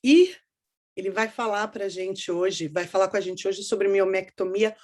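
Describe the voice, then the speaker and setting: A woman's voice: mid-range voice, measured pace, a little mellow. A woman from São Paulo with a paulista accent, hosting an online event and introducing a guest in a chatty, friendly way over a video call. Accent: São Paulo Portuguese. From São Paulo, Brazil. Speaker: host of a support group event.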